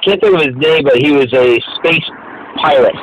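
A man's voice: on the high side, normal speed, lo-fi sound, noisy background. A man in casual podcast chat, laid-back, laughing often and swearing casually. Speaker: podcast co-host.

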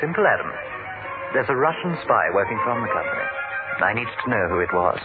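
An actor playing an urbane, manipulative British spy boss in a radio drama, with a British accent and clipped, dry understatement. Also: male voice, on the low side, very animated, brisk. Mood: dry, grave. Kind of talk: 1970s radio drama acting. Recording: tinny old radio recording, loud music.

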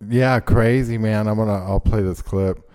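Male podcast host, low mellow voice, relaxed and agreeable. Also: moderate pace, clean tone, no echo, clean studio sound. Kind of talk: casual podcast chat.

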